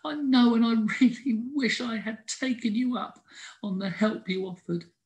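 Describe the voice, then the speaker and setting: A man voicing a stubborn, proud male squirrel who gets tearful and whimpers in a high voice. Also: normal speed. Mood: regretful.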